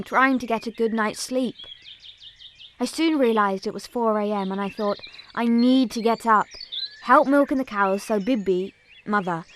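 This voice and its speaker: A woman playing an eager young Punjabi village girl, telling her story in expressive first-person dramatic narration. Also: mid-range voice, a little hiss or hum, lightly acted.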